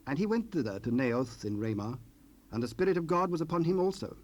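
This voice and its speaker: A man's voice: a little high, big pitch swings, fairly quick, a little hiss or hum. A man narrating scripture from a script in a solemn, formal storytelling style.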